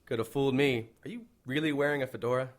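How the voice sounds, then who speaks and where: A man's voice: medium pitch, fast talker, lightly acted. A man playing an eager, touchy young junior detective in an audio drama, earnest and easily stung. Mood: stung, sulky. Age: young adult.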